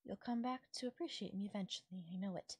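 A woman's voice: medium pitch, fast talker, a little mellow, breathy edge, no echo, lightly acted. A woman playing a possessive, doting fairy captor in an intimate ASMR audio roleplay, speaking sweetly and lilting, sometimes nearly whispering. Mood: pleading, devoted.

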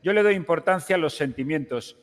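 A man playing a boastful, flattering television wheeler-dealer in an improvised online game roleplay, smooth-talking and theatrical. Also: on the high side, brisk, in a large hall, natural voice. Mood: earnest, wooing.

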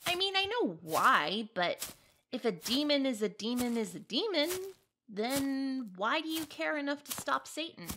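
A woman in an audio drama playing an earnest, excitable, kindhearted young female spirit companion, perky and informal. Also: high-pitched, unhurried, slight background noise, full character voice. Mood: earnest, pleading.

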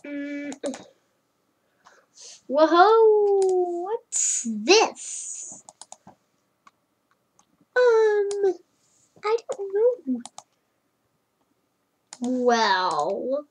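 Slow, high-voiced child narrator. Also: very animated. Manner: slow and wondering, with many hums and murmurs. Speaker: fan animator narrating. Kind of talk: playful narration of a homemade number-block animation.

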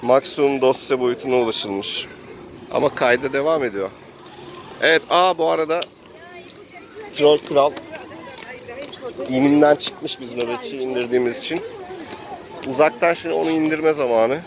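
A man's voice: mid-range voice, normal speed, pauses often. A man acting as game master, narrating an improvised role-playing adventure in a dramatic play-by-play storytelling manner. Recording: faint street noise and background voices.

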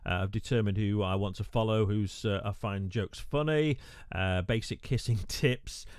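Chatty radio presenter, dry sound. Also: male voice, lowish voice, normal speed, darkish tone, dry recording. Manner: chatty, wry asides, frequent "uh" fillers. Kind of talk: screen-recorded tutorial narration.

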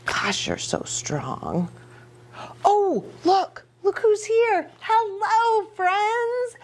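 A middle-aged woman reading a picture book aloud to young children, animated, with chatty asides and questions for her listeners. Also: very high-pitched, extremely slow. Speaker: children's ministry storytime host. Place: small indoor reading nook with bookshelf and curtains.

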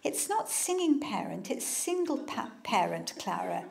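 A quiet, patient teacher-type man, as a woman reader puts him on, explaining gently. The voice sits high and slightly gravelly. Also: sing-song, a little slow, exaggerated voice.

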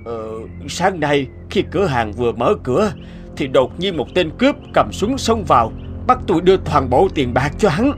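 A man voicing a meek, anxious old shopkeeper in an animated moral tale, speaking hesitantly. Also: fairly high pitch, normal speed, soft background music. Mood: shaken recounting.

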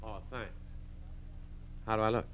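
An actor playing an evasive, smooth-talking husband in a 1940s radio drama. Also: male voice, lowish voice, little pitch movement, unhurried, fairly bright tone. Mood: casual, evasive.

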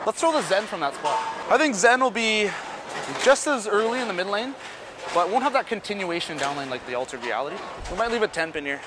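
High-voiced man giving relaxed shot-by-shot commentary. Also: brisk, over music. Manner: relaxed, talks through each shot and adjustment. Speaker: bowling ball reviewer. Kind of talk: casual running commentary while bowling. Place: large indoor bowling alley.

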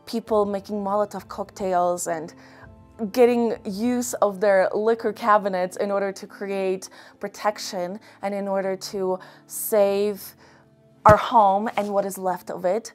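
A young woman from Ukraine answering interview questions earnestly, choosing her words with measured, hesitant pauses. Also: medium pitch. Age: young adult. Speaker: interview guest. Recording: music behind the voice. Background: background music.

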